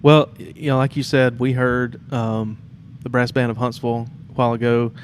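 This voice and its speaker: A man's voice: mid-range voice, flat delivery, normal speed. A man hosting an informal, conversational interview. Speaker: podcast host. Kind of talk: casual, informal interview chat. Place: temporary studio in a theatre. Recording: background noise and room ambience.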